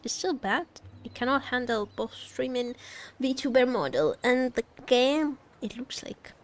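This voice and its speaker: A woman's voice: fairly high pitch, animated, measured pace. Playful woman livestreaming over game music. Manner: playful, teasing, rambling, repeats phrases. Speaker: VTuber streamer. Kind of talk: casual livestream game chat and reactions. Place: indoor home streaming setup.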